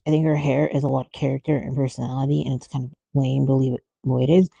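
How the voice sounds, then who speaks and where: Woman, very mellow dark tone, gravelly fry, casual art-stream commentary, musing, trailing phrases. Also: rumbling low, level tone, fast talker, no room sound, highs cut off. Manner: musing, trailing off mid-thought. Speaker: artist streamer thinking aloud while drawing.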